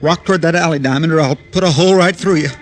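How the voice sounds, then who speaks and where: Radio crime drama: edgy petty racketeer acted by a man, notably high pitch, terse commands, slangy menace. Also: very fast, lightly acted. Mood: menacing. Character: menacing small-time male racketeer in hiding, threatening, edgy. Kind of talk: old-time radio detective drama acting.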